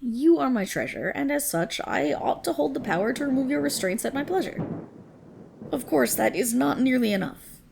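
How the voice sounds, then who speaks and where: A woman voicing a proud, possessive dragon queen in a dramatic ASMR roleplay, with regal, commanding, formal diction and a breathy, husky, creaky voice. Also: sits high, expressive, normal speed, a little mellow, slight background noise, exaggerated voice. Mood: scrutinizing.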